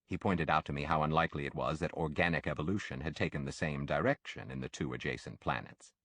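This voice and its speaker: Very deep-voiced man narrating an audiobook. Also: dry recording. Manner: measured, expressive storytelling delivery. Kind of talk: scripted literary narration of a classic novel.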